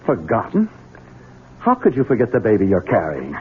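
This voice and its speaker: A resentful husband with a devious streak. His lowish voice leaps around, turning falsely sweet. The 1970s radio drama sounds muffled and thin. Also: wildly swooping pitch, moderate pace, husky, full character voice. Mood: agitated. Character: resentful, scheming Southern husband and coldly devious son. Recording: muffled, narrow-band old radio recording.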